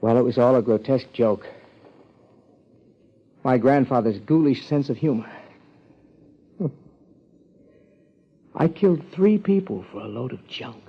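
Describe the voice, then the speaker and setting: A man playing a bitter, unhinged murderer in a 1950s radio drama, brooding and halting in his delivery. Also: medium pitch, vocal fry, husky, heavily acted. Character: bitter, unhinged male murderer, a miser's grandson. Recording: dull 1950s radio recording.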